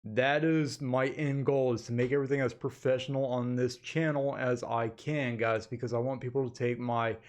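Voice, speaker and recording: A man's voice: mid-range voice, steady tone, moderate pace, hardly any pauses. Man giving a casual channel update. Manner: informal, addresses viewers as "guys" repeatedly. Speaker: animation channel host. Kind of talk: casual webcam update to viewers. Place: indoor home office. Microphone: desktop USB condenser microphone.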